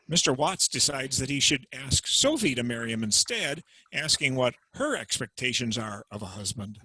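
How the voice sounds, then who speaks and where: A man narrating and introducing a dramatic reading over a video call, in a clear explanatory manner. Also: medium pitch, very animated, normal speed, pushed and bright, no echo, poor audio.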